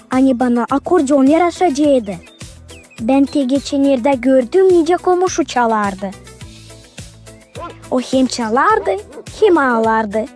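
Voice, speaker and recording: A woman's voice: high-pitched, a little mellow. A woman voicing a curious, thoughtful young animal child in a cartoon, friendly and musing. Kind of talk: cartoon character acting.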